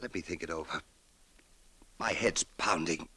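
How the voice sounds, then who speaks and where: A husky, faltering, timid ageing father. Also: deep voice, normal speed, sharp tone, heavily acted. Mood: anxious. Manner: hesitant, faltering, self-doubting. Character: timid, anguished ageing father hiding his identity. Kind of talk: 1940s-50s radio drama acting.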